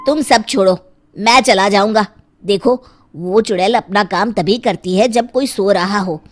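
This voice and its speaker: A woman voicing a brave, resolute village man in a children's story, with an earnest, deliberate delivery. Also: medium pitch, lightly acted.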